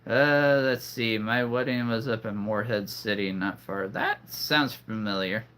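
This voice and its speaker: A man's voice: fairly low pitch, a little slow, fairly bright tone. A man hosting a live stream, rambling casually and making cheesy jokes to his viewers. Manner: rambling, jokey, punning.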